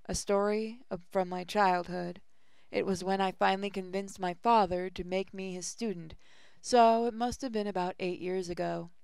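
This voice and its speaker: A woman's voice: fairly low pitch, creaky, a little mellow, clean tone, no room sound. A woman hosting a gaming channel, reading visual novel text aloud in a casual way. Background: music.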